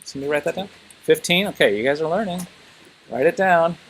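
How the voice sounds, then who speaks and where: A man's voice: a little high, fast, slight background noise, rough recording. A man teaching a class informally, thinking aloud and prodding students with questions.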